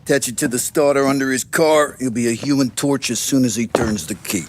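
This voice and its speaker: A man playing a sardonic, blackly humorous mob bomb maker in a crime video game, speaking with deliberate wit. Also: mid-range voice, background noise, close to an everyday voice. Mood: deadpan. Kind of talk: cinematic video game acting.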